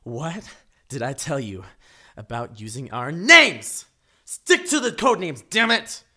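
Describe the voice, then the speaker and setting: A man's voice: on the high side, sing-song, takes it slowly, bright, forceful tone, exaggerated voice. A man playing a short-tempered, sinister bank robber leading his gang in an audio drama. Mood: irritated, volatile. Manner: barking threats, then mock-polite and controlled.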